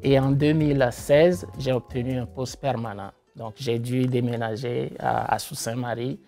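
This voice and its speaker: Gravelly-voiced man from Togo, halting. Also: mid-range voice, steady tone, dark tone, soft background music. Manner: hesitant, halting with fillers. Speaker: math and science teacher. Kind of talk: interview testimony.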